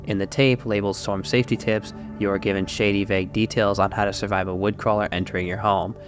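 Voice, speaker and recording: A man's voice: fairly low pitch, level tone, quick pace. A man hosting a scripted, analytical video essay, narrating step by step as a voice-over. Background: music behind the voice.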